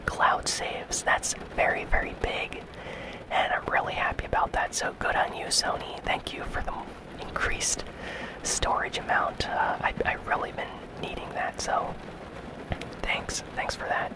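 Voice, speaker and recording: This is an ASMR gaming news host who speaks entirely in a soft whisper. The delivery is breathy and casual, moving along in an unhurried, conversational way with very little voiced tone. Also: low-quality recording.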